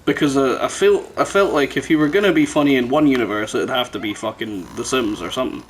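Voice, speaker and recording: A man's voice: mid-range voice, fast talker, slight background noise, poor audio. A man hosting a casual podcast chat, talking in a sweary, teasing, bantering way. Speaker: podcast host.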